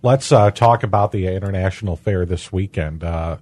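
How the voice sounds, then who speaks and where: Male local radio host, low-ish voice, halting delivery with fillers, dry studio sound. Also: darkish tone, breathy edge, no echo. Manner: halting, many fillers and restarts.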